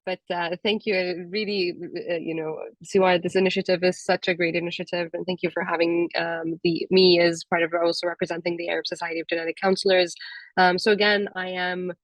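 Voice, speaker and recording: Slightly sick woman, low-pitched, informal panelist. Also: little pitch movement, a little fast, no echo, rough recording. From Amman, Jordan. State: sick with a cold-like illness. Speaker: panelist, cancer genetic counselor. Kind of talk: informal career talk on a panel.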